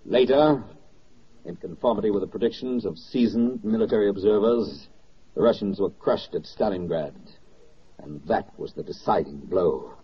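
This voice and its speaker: An actor playing a grave, bitterly ironic wartime narrator in a 1940s radio drama, speaking in measured, declamatory phrases. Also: male voice, fairly low pitch, lightly acted. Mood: grim irony. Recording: dull vintage 1940s radio recording, music behind. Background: music.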